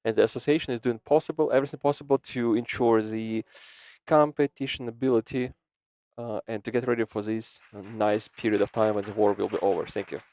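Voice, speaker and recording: A man interpreting a panel discussion into English live, halting often, repeating words and restarting his sentences. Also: lowish voice, fairly even intonation, dark tone, no room sound. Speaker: simultaneous interpreter. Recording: dull, dry lo-fi sound.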